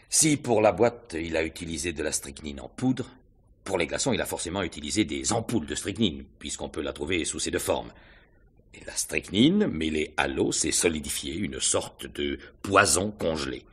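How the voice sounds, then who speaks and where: An actor playing a calm, methodical police inspector in a radio mystery, explaining in a measured, composed way. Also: male voice, rumbling low, slightly rough, heavily acted. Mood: methodical, certain. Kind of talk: French radio mystery drama acting.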